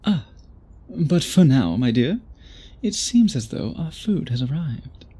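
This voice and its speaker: An obsessive, lovestruck vampire gentleman, acted by a man for an intimate ASMR roleplay. His voice is very breathy and husky, with a soft, dark tone. He speaks slowly, in genteel, old-fashioned phrases. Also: fairly high pitch, very animated, heavily acted. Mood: delighted, gentle. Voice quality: breathy, husky, often whispery. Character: obsessive, courtly, lovestruck male vampire suitor.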